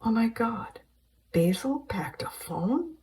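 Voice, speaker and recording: A woman voicing a harried middle-aged suburban wife who talks in an exasperated, high-pitched way. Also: sing-song, takes it slowly, a little mellow, far from the mic.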